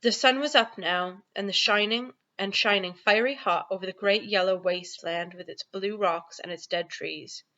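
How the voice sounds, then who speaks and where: A woman's voice: mid-range voice, normal speed, hardly any pauses, pushed and bright, muffled recording. A woman reading a children's storybook aloud expressively, like a primary school teacher at home.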